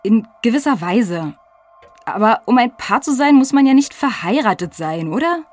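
A woman playing a brisk, evasive wife in a comic audio drama, matter-of-fact and self-justifying. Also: fairly high pitch, expressive, a little mellow, faint music behind, natural voice. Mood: brisk, defensive. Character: brisk, evasive woman defending her affair.

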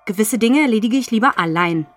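A woman's voice: medium pitch, lively intonation, brisk, close to an everyday voice. A woman playing a bossy, exasperated, controlling wife in a comic audio drama, scolding and superior.

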